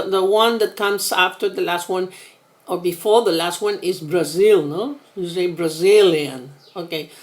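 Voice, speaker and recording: A woman from Peru gives an online English lesson over a computer mic. She speaks in a drawn-out, very unhurried way, with a middle-height voice. She keeps pausing to check in with her students, tagging "no?" onto her sentences. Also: mid-range voice, a bit bright. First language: Spanish. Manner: slow and patient, keeps asking "no?". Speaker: English teacher. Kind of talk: online class lecture.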